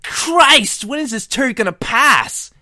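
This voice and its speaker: A man voicing a flustered, excitable young space hero with a cracking, squeaky voice, stammering and exclaiming in a comedic fan fiction reading. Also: takes it slowly, heavily acted. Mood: panicked, exclaiming.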